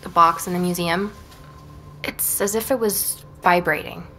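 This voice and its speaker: An actress playing an earnest, determined young woman investigator in an audio drama, speaking in a measured, reflective way. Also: fairly low pitch, steady tone, a bit bright, soft background music. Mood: thoughtful, weary. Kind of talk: audio drama, conversation and first-person narration.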